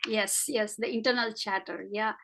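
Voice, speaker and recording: A woman life coach leading a coaching dialogue, asking gentle questions with soft fillers. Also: mid-range voice, steady tone, moderate pace, vocal fry, a little hiss or hum. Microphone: video call.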